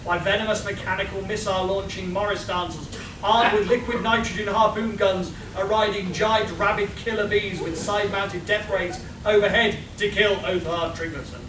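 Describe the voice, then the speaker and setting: A woman's voice: medium pitch, steady tone, moderate pace, natural voice. A woman playing a bragging, bombastic male adventurer in a comic radio play. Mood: boastful. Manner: boastful, long breathless rants. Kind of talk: live radio play comedy acting. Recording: echoey, noisy room from far off.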